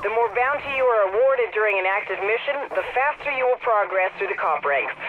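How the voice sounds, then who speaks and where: An actor playing an authoritative police command officer in a video game, giving a formal, clipped briefing through a radio filter. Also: male voice, sits high, animated, fairly quick. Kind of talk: scripted briefing narration. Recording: dull, band-limited radio-style sound.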